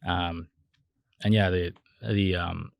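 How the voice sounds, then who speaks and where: A young man with a low, gravelly, slightly husky voice, talking in a relaxed, halting way full of fillers and restarts. He laughs easily between thoughts. The sound is close and dry. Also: no echo. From United States. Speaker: startup founder, guest. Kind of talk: casual interview chat.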